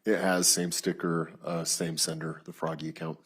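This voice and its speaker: A man testifying as a detective in court, reading written messages aloud in a flat, procedural, matter-of-fact way with a husky voice. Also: deep voice, normal speed. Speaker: lead detective testifying as witness.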